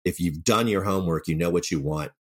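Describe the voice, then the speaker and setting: A man's voice: low voice, fast. A man talking casually and enthusiastically as an interview guest, a writer and director who acts out bits of remembered dialogue.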